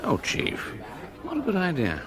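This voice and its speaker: An actor playing a keen, genial police detective, the chief's loyal sidekick, chatty and inquisitive in a British radio drama. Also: male voice, sits high, huge pitch swings, moderate pace, a crowd in the background. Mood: brisk, pleased.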